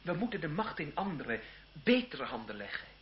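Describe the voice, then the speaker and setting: An actor playing an idealistic, earnest young French seminarist and reformer in a radio drama, speaking in a declamatory way. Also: male voice, a little high, very animated, moderate pace. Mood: impassioned. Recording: muffled, hissy old radio drama recording.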